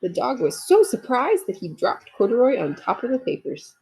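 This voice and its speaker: A woman narrates a picture book for young children. Her voice is markedly husky with some fry, and her pitch moves in lively, expressive sweeps as she speaks to the listeners. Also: normal speed, poor audio. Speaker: storybook reader. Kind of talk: children's read-aloud narration with asides.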